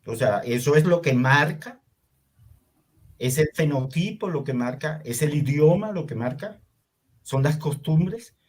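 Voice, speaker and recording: An older man from Venezuela, whose was Hungarian, telling his story in an interview in a reflective, unhurried way with many fillers. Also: a little high, expressive, a little hiss or hum. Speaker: artist guest. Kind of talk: conversational interview.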